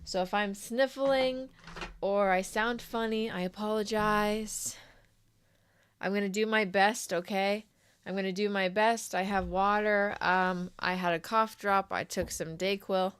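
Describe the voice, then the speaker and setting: A young adult woman hosting a crochet live stream, chatty and friendly with viewers while sick with a sore throat, often clearing her throat. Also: mid-range voice, steady tone, unhurried. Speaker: crochet livestream host. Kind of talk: casual live stream chat.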